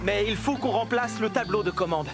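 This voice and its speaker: A man playing a cheerful, reassuring builder in a French-dubbed children's cartoon, expressive and encouraging. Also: high-pitched, a little fast, natural voice. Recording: loud music bed behind.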